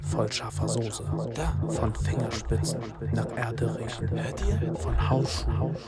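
A man's voice: medium pitch, flat delivery, slow pace, creaky, over a noisy crowd. An actor playing a bitter young man from an immigrant neighbourhood in a radio drama monologue, speaking in rhythmic short phrases with insistent repetition. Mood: bleak, subdued. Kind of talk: literary radio drama monologue, spoken-word delivery.

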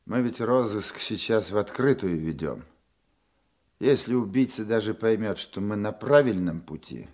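Wry old-school police boss in a radio drama, deep measured voice, asks pointed questions. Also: male voice, a little mellow, heavily acted. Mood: firm, businesslike. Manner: measured, questioning, dryly authoritative. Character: calm seasoned male police investigation chief, methodical, wry. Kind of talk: Russian radio drama acting.

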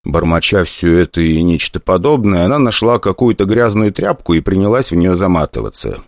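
A man narrating a fantasy audiobook in an expressive, ironic storytelling manner. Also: sits low, a little fast, no room sound, studio quality.

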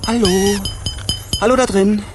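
An actor in a German radio play, playing a breezy, boyish, scatterbrained tycoon with a casual way of talking. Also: male voice, high voice, steady tone, unhurried, heavy background noise. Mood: cheerful, distracted.

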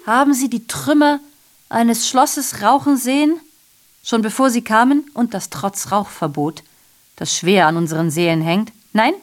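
A woman's voice: fairly high pitch, measured pace, natural voice. A woman in a radio drama playing a sardonic messenger who reports on war and ruin with rhetorical questions and ironic asides. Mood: sardonic, agitated. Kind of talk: literary radio drama monologue.

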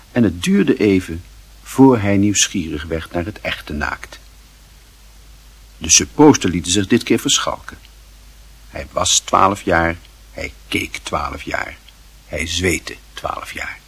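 A man narrating a literary radio play with measured, deliberate phrasing. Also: sits low, breathy edge, heavily acted. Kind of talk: scripted narration.

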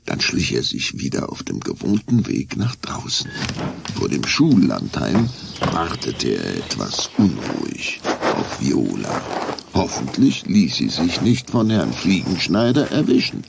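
A man's voice: rumbling low, huge pitch swings, unhurried, vocal fry, noisy background, full character voice. A man narrating a scripted children's radio play with suspenseful storytelling.

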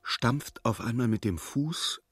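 A male narrator voiced by an actor in a literary radio drama, with a mid-pitched voice. He tells the tale in a precise, vivid and suspenseful way over faint music. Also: slow, lightly acted. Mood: measured, observing. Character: male storybook narrator, vivid and urgent observer. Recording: studio radio play with music.

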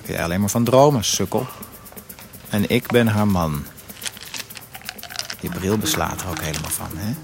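A man's voice: low-pitched, moderate pace, creaky, pauses often, music in the background. An actor playing a young husband of a cancer patient, narrating his bitter, sarcastic inner thoughts in a radio play. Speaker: narrator and lead character. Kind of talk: Dutch radio drama.

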